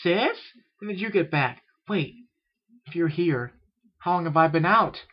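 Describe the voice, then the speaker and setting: Excitable, anxious little filly unicorn played by a man, pitched well up, storybook reading, dull lo-fi sound. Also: a little slow. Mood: surprised. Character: young female unicorn apprentice, excitable, anxious, eager. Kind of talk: fan fiction read aloud with character voices.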